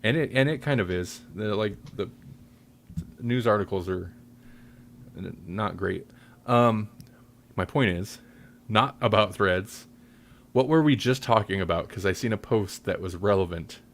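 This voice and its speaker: Casual male podcast host with a lowish voice and a rambling, self-correcting way of talking. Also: unhurried, pauses often. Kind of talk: casual chat.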